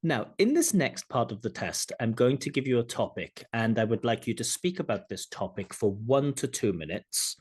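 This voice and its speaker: A man working as a speaking examiner, asking scripted questions in a measured, formal way over a video call. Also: medium pitch, sing-song, a little mellow, slightly rough.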